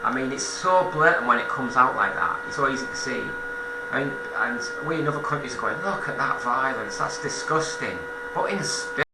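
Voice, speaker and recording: A man giving an informal political monologue as a commentator, halting and self-correcting, repeating phrases for emphasis. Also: mid-range voice, lively intonation, roomy sound, heavy background noise.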